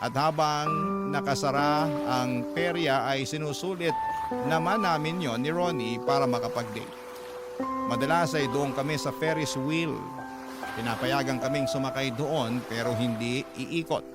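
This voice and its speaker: A man's voice: mid-range voice, a little slow. A man narrating a scripted first-person love story as the host of a radio drama. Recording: loud music under the voice.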